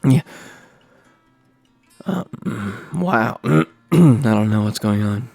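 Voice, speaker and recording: A man reading a humor article aloud with hesitant, rambling asides and frequent throat-clearing, switching between recitation and casual commentary. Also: medium pitch, expressive, extremely slow, darkish tone, soft background music.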